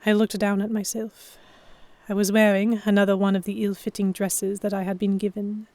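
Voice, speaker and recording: Female first-person narrator, audiobook style: medium pitch, expressive storytelling, dry studio-like sound. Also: moderate pace, dry recording. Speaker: narrator and lead heroine.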